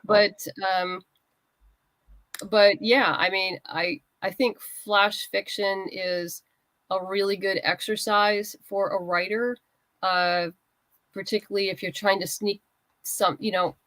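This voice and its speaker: A woman's voice: mid-range voice, fairly even intonation, takes it slowly, fairly bright tone, no room sound. A woman writer chatting as an interview guest, candid and conversational, explaining things in loose fragments. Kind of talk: casual interview chat.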